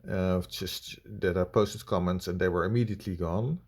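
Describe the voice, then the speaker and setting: A man with a Dutch accent giving unscripted critical commentary in a halting, blunt, complaining way. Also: sits low, a little mellow. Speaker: video reviewer.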